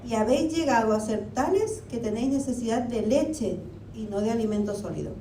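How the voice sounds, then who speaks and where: Woman preacher, high mellow voice, chatty sermon full of questions, roomy hall with the mic set back. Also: lively intonation, fairly quick. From Argentina. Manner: conversational preaching with rhetorical questions. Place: church hall. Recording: echoey hall, mic at a distance, faint rumble.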